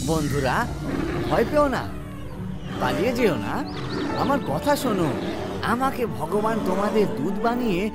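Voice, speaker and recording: A crafty jackal from a children's cartoon, voiced by a man, speaks in a high, dramatic voice. He is cowardly and self-important by turns. Loud music plays underneath. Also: big pitch swings, a little slow, pauses often. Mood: sly, pompous. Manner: dramatic, wailing when scared, pompous when scheming. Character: cunning, cowardly male jackal posing as God's envoy. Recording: music behind the voice.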